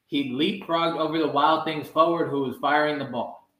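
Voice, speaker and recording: A man in his twenties reading a children's story aloud, measured, calling out the characters' exclamations. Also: fairly high pitch, steady tone, bright, forceful tone. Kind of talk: animated read-aloud storytime. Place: indoor room with blinds, sitting. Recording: roomy echo.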